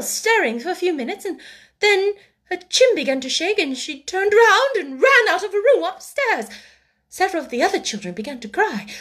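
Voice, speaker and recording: A woman's voice: very high-pitched, pitched above the performer's own voice. Woman doing a flustered, chattering spinster. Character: fussy, fretful middle-aged spinster sister.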